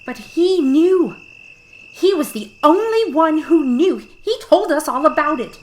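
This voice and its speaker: High, frantic woman as an accusing neighbor. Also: animated, unhurried, darkish tone, a little hiss or hum. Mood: frantic insistence. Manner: agitated, insistent. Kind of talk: radio play acting.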